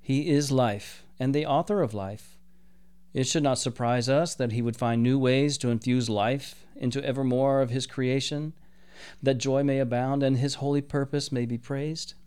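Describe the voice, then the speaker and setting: Man as solemn archangel; measured, grave, in his own voice. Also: medium pitch, fairly even intonation, darkish tone, smooth, lightly acted.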